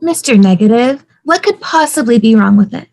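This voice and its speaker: A woman acting as an eager young wife at a table read. Her voice is high and she talks persuasively, in an everyday conversational style. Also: expressive, normal speed, a little mellow.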